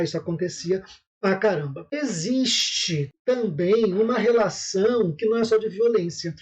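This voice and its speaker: A man from Brazil with a Rio de Janeiro accent lectures in a relaxed, explanatory way, often stopping to check that his listeners follow. His voice sits high for a man. The sound is somewhat muffled and lo-fi. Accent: Rio de Janeiro Portuguese. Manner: explanatory, checks in with "tá?" and "né?", frequent restarts. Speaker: spiritual center leader teaching a class. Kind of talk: informal lecture.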